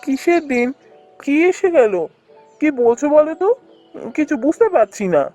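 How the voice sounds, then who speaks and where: A man's voice: very high-pitched, lively intonation, normal speed, a little mellow, soft background music. A man voicing a poor, worried village labourer in a cartoon fairy tale, humble and plaintive. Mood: puzzled.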